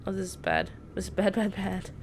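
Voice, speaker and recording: Woman doing earnest hero girl from a video game, urgent, lots of vocal fry, little bit of noise. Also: mid-range voice, huge pitch swings, brisk. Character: urgent, earnest young heroine of fantasy game.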